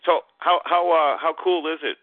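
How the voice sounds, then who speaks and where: A man's voice: on the high side, fairly even intonation, normal speed, no echo. A man hosting a chat in a curious way, asking lots of questions. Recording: dry narrow phone line.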